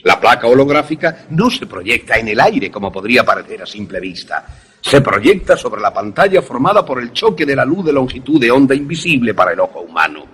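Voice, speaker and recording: An actor playing an older professor in a suspense radio drama, an obsessive inventor who explains things in a deliberate, didactic, husky way. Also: male voice, medium pitch, sing-song, fairly bright tone, heavily acted. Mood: didactic, proud. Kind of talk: Spanish-language suspense radio drama acting.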